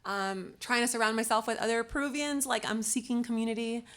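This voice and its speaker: A woman's voice: on the high side, fairly even intonation, brisk, a bit bright, clear, resonant tone. A young woman from Los Angeles speaking on a panel, hesitant, with many fillers and restarts. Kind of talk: casual panel discussion.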